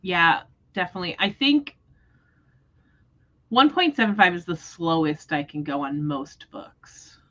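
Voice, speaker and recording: Low-pitched woman in casual book chat. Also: big pitch swings, a little slow, a bit bright, lo-fi sound. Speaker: host of a book readalong livestream. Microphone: livestream microphone.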